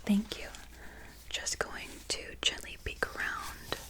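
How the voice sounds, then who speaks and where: Slow, whispering ASMR woman. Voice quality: breathy whisper, very soft. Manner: gentle, soothing, unhurried reassurance. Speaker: ASMR creator playing a barber. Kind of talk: soft-spoken ASMR personal-attention roleplay.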